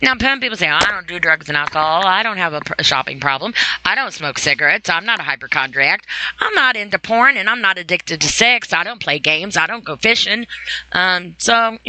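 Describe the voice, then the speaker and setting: Woman podcast host, forceful bright tone, very dry sound, informal opinionated solo talk with emphatic repetition. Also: lowish voice, no room sound, poor audio. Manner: emphatic, repeats phrases for stress.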